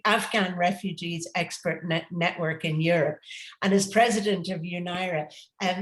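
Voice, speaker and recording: A woman's voice: lowish voice, roomy sound. A woman moderating an assembly, formally introducing a speaker.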